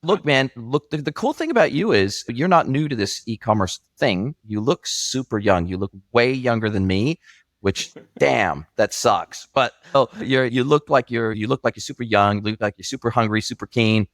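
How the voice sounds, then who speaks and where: A man's voice: mid-range voice, expressive, moderate pace, no room sound, clean studio sound. A man in his forties with a mixed international accent, hosting a podcast interview in an effusive, enthusiastic way. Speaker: podcast host.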